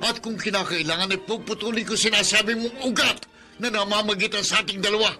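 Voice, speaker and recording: Bitter, high-pitched hot-tempered young Filipino man. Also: fairly even intonation, quick pace, bright, forceful tone, soft background music. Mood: furious, defiant. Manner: taunting, emphatic. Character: hot-tempered, jealous, spiteful elder half-brother. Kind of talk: Filipino radio drama acting.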